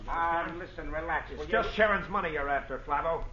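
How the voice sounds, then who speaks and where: A man's voice: a little high, a little fast, lightly acted. Actor as an echoey, hot-tempered quarrelling man. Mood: angry. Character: hot-tempered men quarrelling behind an office door. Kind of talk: 1940s radio crime drama acting. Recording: echoey, muffled, distant, hissy radio recording.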